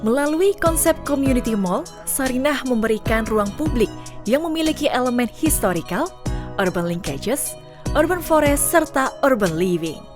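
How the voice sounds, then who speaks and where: A woman narrates a scripted corporate promo. Her voice is fairly high, and music plays clearly behind her. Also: animated, normal speed. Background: prominent music.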